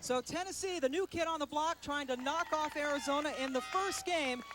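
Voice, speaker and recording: A man calling a college softball game as play-by-play announcer, with a brisk, upbeat, stat-heavy broadcaster delivery. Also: extremely high pitch. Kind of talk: live sports commentary. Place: broadcast booth at a stadium. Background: crowd noise.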